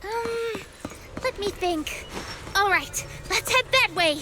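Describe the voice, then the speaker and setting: Very high, slow-speaking sweet girl. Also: animated, sharp tone, heavily acted. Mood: sweet, musing. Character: gentle, dreamy girl, sweet and unhurried. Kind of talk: anime-style game voice acting.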